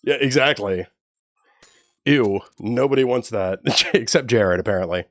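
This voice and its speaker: Laid-back low-voiced male streamer. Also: unhurried, no echo. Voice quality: low, even voice. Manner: laid-back, profane, joking with chat. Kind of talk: casual live-stream chat.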